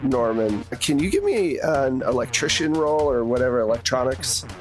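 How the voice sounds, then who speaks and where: A man's voice: medium pitch, sing-song, normal speed. A man narrating a tabletop roleplaying game as game master, in a conversational, plain-spoken way. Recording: music behind the voice.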